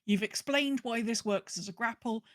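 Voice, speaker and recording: A woman's voice: fairly high pitch, normal speed, no echo, studio quality. A woman co-hosting a casual gaming podcast, chatty, often voicing imagined remarks as she explains. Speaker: podcast co-host. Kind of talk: casual chat about a video game.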